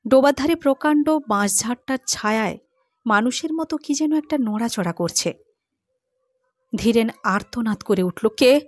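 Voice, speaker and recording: A woman narrating a classic story in a measured way, softening for the eerie passages. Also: fairly high pitch, animated, dry recording, studio quality. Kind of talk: scripted literary narration.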